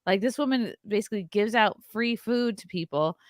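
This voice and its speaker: A woman hosting a casual commentary podcast, talking in a profane, animated way and mimicking the people she discusses. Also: medium pitch, moderate pace, no room sound, clean studio sound. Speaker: comedian podcast host.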